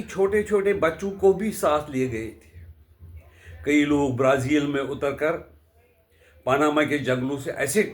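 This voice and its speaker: A man gives unscripted political commentary in a deliberate, halting way, often posing rhetorical questions. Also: mid-range voice. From India.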